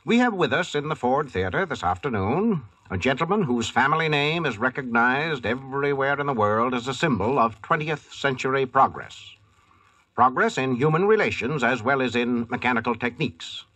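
A man's voice: medium pitch, bright, forceful tone, slightly rough, no room sound. A man hosting a 1940s radio broadcast as announcer, formal and theatrical in his delivery.

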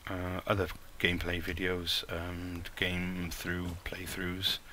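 A man's voice: low-pitched, monotone, slow, background noise. A man with a light Welsh accent hosting a gaming channel, talking casually and rambling over his gameplay. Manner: rambling and self-mocking, with playful put-on crooning.

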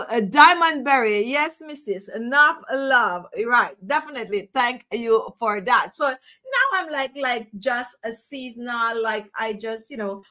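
A middle-aged woman with a Jamaican accent hosting a casual beauty live stream from home, chatty and animated, speaking to a webcam. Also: high voice. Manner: chatty, animated, talks with her hands. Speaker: beauty channel host. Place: home room, indoors.

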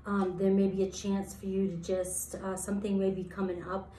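A woman in her thirties with a slightly raspy voice, giving a casual tarot reading and rambling through her thoughts with many fillers. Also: medium pitch, level tone, moderate pace, warm tone. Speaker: tarot reader. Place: sparse room, indoors, seated at floor level. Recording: echoey empty room.

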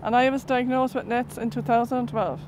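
Woman, Cork accent, high voice, friendly intro; outdoors, faint ambience. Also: fairly even intonation, brisk, darkish tone, a little hiss or hum. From Ireland. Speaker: patient advocate. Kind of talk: scripted introduction. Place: outdoors on a lawn.

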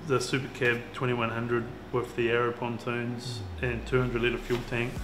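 A young man with a New Zealand accent, chatting casually about a fishing trip, relaxed and full of fillers. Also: mid-range voice, normal speed, noisy background. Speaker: fisherman. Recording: roomy sound with music behind.

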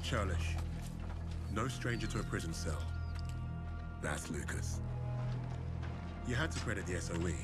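A man playing a wry wartime officer who narrates a comrade's story in a measured, dryly sardonic way for a war game. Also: low voice, animated, loud music behind the voice. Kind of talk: cinematic scripted narration.

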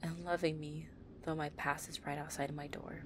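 A woman playing a possessive young gang-leader girlfriend, tough but tender, in an intimate, soft-spoken audio roleplay. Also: sits low, flat delivery, normal speed, background noise. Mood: tender, grateful.

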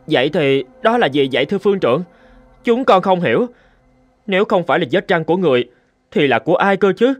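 A respectful young monk addresses his master in a cartoon. The voice is somewhat high and deferential, with light music behind. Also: animated, a little fast, no echo. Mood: respectful, puzzled. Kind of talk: animated folk tale dialogue.

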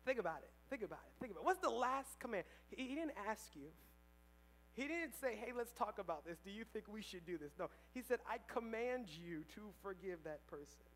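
A young man preaching a sermon on a church stage through a headset mic, swinging between loud emphatic lines and quiet asides. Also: sits high, big pitch swings, a little fast, slight background noise, low-quality recording. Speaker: pastor. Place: church stage, large indoor hall.

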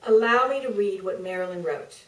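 A middle-aged woman author giving a measured, deliberate staged reading from a podium, with pauses for effect. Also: mid-range voice, a bit bright, far from the mic. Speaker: author reading from her book. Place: theater stage, filmed from the audience. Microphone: podium microphone heard through distant camera. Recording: roomy theater, picked up from afar.

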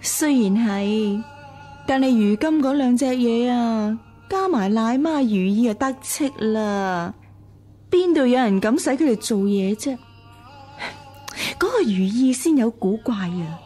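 Radio drama: sly, jealous female gossip, unhurried, faint music behind. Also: a little high, lively intonation, mellow. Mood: spiteful, gossiping. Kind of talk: Cantonese radio drama acting.

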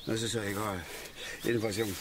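Man acting a gruff middle-aged angler, noisy outdoors. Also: lowish voice, little pitch movement, a little fast, natural voice. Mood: gruff, instructing. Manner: blunt, colloquial, muttering in short clipped phrases. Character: gruff, opinionated middle-aged male angler, grumbling loner. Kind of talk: naturalistic German radio play acting. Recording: noisy outdoor ambience with birds. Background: birds and outdoor nature sounds.

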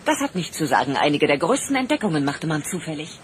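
An actress playing a confident, ambitious newspaper reporter in a radio play, persuasive in manner. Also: female voice, low voice, big pitch swings, fast, slight background noise. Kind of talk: German radio play dialogue.

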